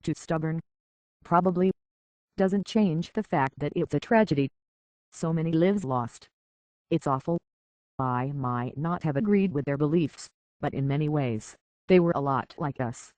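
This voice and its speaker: An actress playing an earnest, conflicted young woman in a video game, speaking hesitantly and with a soft heart. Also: low voice, a little slow, no echo. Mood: sad, troubled. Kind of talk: video game character dialogue.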